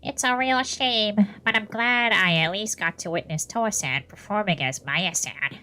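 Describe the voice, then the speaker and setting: A woman voicing a sly, theatrical male official in a visual novel, with playful mock politeness and drawn-out emphasis. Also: mid-range voice, sharp tone, soft background music, voice pushed up, close to an everyday voice. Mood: gleeful taunting. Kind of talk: visual novel character reading.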